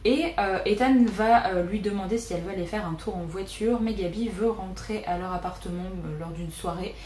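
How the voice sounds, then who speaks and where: Young woman, chatty booktuber, mellow tone, roomy echo, faint hiss. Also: medium pitch, moderate pace. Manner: chatty, frequent "euh" fillers. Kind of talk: casual book wishlist vlog. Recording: echoey room with faint noise.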